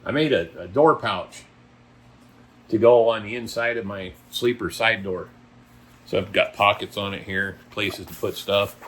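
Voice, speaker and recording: A man's voice: on the low side, a little slow, a bit bright, husky. Blunt, folksy older male trucker. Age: middle-aged or older. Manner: blunt, folksy, opinionated, emphatic. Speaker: trucker and video blogger. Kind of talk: casual vlog monologue.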